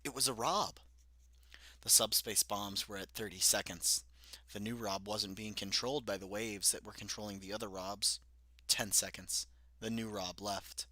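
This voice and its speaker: A man's voice: on the low side, fairly even intonation, moderate pace, pushed and bright, no room sound. A young man reading aloud from a story he wrote as a child, laughing at it and commenting as he goes. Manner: self-deprecating, laughing at his old writing. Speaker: reader of his own childhood story. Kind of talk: solo read-aloud with commentary.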